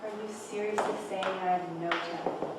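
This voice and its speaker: A woman's voice: mid-range voice, steady tone, normal speed, lightly acted. A woman playing a defensive novelist answering a friend in a table read of a play. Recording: echoey room, far mic, clear noise.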